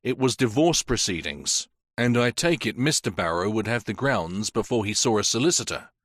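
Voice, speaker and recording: A man voicing a police inspector who questions a witness in a measured, firm way. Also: lowish voice, lively intonation, fairly bright tone, no echo, studio quality. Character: stern, patient male police inspector. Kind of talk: audiobook character voice.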